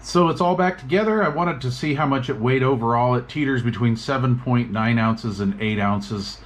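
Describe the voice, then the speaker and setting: A man giving a relaxed, casual review of radio gear, chatting with plenty of fillers as he works at a tabletop. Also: mid-range voice, moderate pace.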